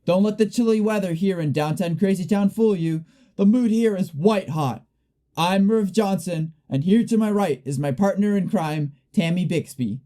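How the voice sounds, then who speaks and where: A woman's voice: mid-range voice, normal speed, mellow, natural voice. A young woman playing a male play-by-play sports announcer in a comic radio play, with dramatic emphasis. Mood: showy, announcing. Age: young adult. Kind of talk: parody live sports commentary in a radio play.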